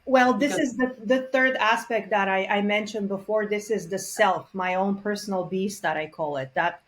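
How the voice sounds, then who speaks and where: A woman speaks as an interview guest at an ordinary mid pitch. Her manner is earnest and reflective, full of small pauses and fillers as she works through her thoughts. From Bulgaria. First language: Bulgarian. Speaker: guest expert on multilingual parenting. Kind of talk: conversational interview.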